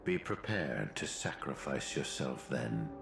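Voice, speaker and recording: Scheming monkey professor, deep and sneering, roomy, music-heavy mix. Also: male voice, big pitch swings, measured pace, creaky, exaggerated voice. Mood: contemptuous. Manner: condescending, menacing. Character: cold scheming monkey professor villain, male. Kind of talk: video game voice acting. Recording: roomy game audio with loud music.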